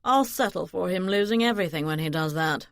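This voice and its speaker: A woman voicing a scheming, hot-tempered man of high society in an audiobook, insistent and menacing. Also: brisk, creaky, dry recording, clean studio sound. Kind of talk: audiobook character dialogue.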